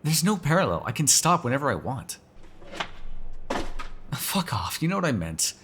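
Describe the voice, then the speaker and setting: A man's voice: fairly high pitch, very animated, moderate pace, fairly bright tone, a little hiss or hum. A man playing a sarcastic, teasing vampire who kills without regret, in casual, profane roleplay banter. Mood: wry, bantering, then defensive, irritated. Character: snarky male vampire, restless unrepentant killer, teasing. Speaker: voice actor in an audio roleplay. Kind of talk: ASMR roleplay, casual one-sided dialogue.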